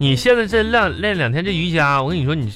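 A man's voice: a little high, expressive, quick pace, close to an everyday voice. A man acting a teasing, bumbling middle-aged husband in a comedic radio drama, with a folksy Northeastern Chinese Mandarin accent and a stammering, wisecracking delivery. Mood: joking. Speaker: radio comedy actor and host. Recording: studio broadcast with music bed. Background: music.

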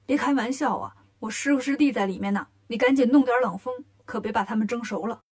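A woman voicing a cocky, quick-witted male monkey king in a children's story, bossy and colloquial. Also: on the high side, a little mellow, close to an everyday voice. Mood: urgent.

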